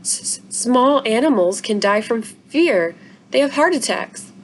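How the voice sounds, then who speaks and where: A stern, practical dad from a children's storybook read by a woman, explaining things firmly and in a measured way. There is clear noise behind the voice. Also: fairly high pitch, expressive, voice pushed up. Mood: awkward. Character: stern, practical father trying to keep calm. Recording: noisy room recording.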